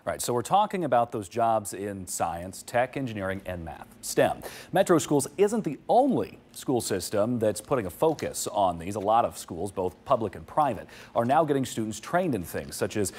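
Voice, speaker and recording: A man's voice: fairly low pitch, husky. A young man delivering a TV news report in a studio and in voice-over. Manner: lively, measured news delivery.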